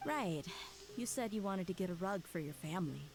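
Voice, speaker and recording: A woman voicing a proud young female desert mercenary in a video game who speaks in a steady, earnest way. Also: lowish voice, brisk. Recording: game audio with faint music.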